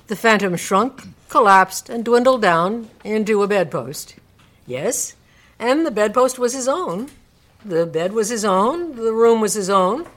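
A woman narrating a classic story in a live radio reading, expressive and dramatic, lingering on descriptive words. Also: mid-range voice, a little slow.

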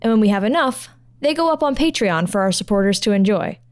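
A woman's voice: medium pitch, animated, fast, smooth. A woman reading a scripted podcast introduction as the show's line producer. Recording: voice over music. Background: music.

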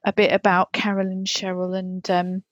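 A woman with a mid-pitched voice co-hosts a casual comic podcast. She rambles along hesitantly and matter-of-factly. The recording is close and dry, with a slightly dull tone. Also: completely flat delivery, normal speed, no echo. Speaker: podcast co-host.

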